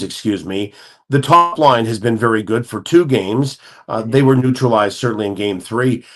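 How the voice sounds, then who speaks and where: A man, a guest hockey analyst, talking through the game in a measured, analytical way with frequent self-corrections. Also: medium pitch. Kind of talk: radio-style hockey talk.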